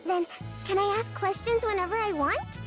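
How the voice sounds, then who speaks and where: A woman voicing a brainy, proud little girl inventor in a video game, childlike, earnest and expressive. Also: very high-pitched, moderate pace, music in the background. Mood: eager. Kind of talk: voiced game event dialogue.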